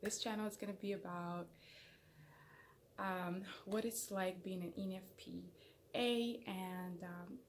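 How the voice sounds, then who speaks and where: Rambling young woman with gravelly edge. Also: lowish voice, fairly even intonation, unhurried, a little hiss or hum. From Somalia. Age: young adult. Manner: rambling, self-conscious, many fillers and restarts. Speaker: channel host. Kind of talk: casual chat to camera. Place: small indoor room. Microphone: camera in front, head and shoulders framing.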